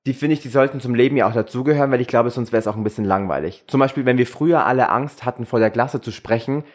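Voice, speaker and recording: A man's voice: medium pitch, fast. A young man from Germany chatting casually and candidly, crude and frank, mixing English slang into his German. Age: young adult. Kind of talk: casual, candid group chat.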